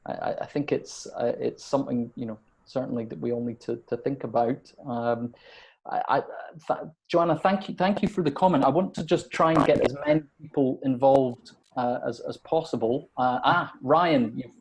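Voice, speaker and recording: A man's voice: mid-range voice, normal speed, gravelly, poor audio. Hesitant man moderating an online webinar. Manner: hesitant, repeats words often. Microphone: video call.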